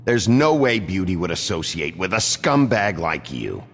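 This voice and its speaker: Very deep, gravelly detective. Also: male voice, big pitch swings, moderate pace, a bit bright, exaggerated voice. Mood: firm, probing. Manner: terse, dry, controlled. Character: gruff, dogged male detective pressing a suspect. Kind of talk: video game voice acting.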